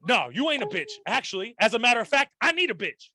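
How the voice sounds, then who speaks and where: A man's voice: very high voice, big pitch swings, fast, bright, forceful tone. A man hosting a casual podcast chat, full of crude jokes and frequent laughter. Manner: crude, joking banter, laughing often. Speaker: podcast host.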